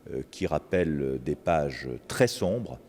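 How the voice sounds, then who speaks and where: A man giving a public statement, speaking slowly and hesitantly with constant filler pauses. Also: rumbling low, animated, darkish tone, in a large hall.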